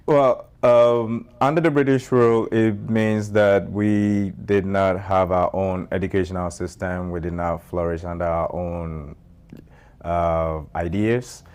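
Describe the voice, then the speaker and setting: A Ghanaian man answering interview questions in a measured way, with many fillers and restarts. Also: deep voice, steady tone, a little mellow, smooth. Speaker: guest, nonprofit founder.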